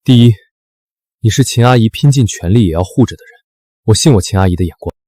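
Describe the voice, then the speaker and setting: A commanding young protector in a short drama. His voice is husky and somewhat low, quick and sure of itself, with a firm edge. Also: lively intonation, dark tone, dry recording, clean studio sound. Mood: protective. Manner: firm, assured. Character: protective, commanding young male rescuer with cold authority.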